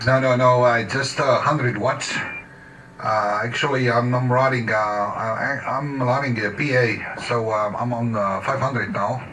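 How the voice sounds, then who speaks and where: A man chatting haltingly as an amateur radio operator in his home shack. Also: mid-range voice, fairly even intonation, pushed and bright. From Slovenia. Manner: halting, hesitant phrasing. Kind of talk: casual radio chat. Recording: distant mic, faint shack noise.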